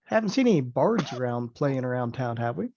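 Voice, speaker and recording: A man's voice: mid-range voice, sing-song, a little fast, mellow, no echo. A man playing a tabletop role-playing game, speaking casually in character on a slightly dull recording.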